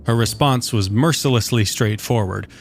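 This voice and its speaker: A man's voice: medium pitch, moderate pace. A man reading scripted fiction as the narrator of a story. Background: soft guitar music.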